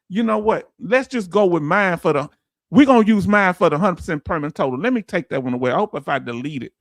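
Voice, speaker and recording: A man's voice: sits high, a bit bright, dry recording, studio quality. A man who teaches a livestream audience in loose, folksy talk and quizzes viewers with repeated questions. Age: 50s. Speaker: veteran benefits streamer. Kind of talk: livestream lesson answering chat.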